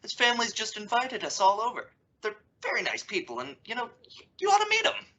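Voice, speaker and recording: A woman's voice: fairly high pitch, wildly swooping pitch, a little fast, pushed and bright, full character voice. A woman playing a wry, exasperated but loving middle-aged father in an old-time radio sitcom, with emphatic, sarcastic delivery. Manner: dry sarcasm with emphatic swoops. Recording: dull, lo-fi audio.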